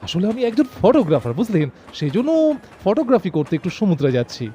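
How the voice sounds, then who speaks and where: A high, mellow-voiced young male charmer from a doll cartoon, played by a man. He is confident, polite and flattering, with lively intonation over faint background noise. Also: fast talker. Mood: charming, flattering.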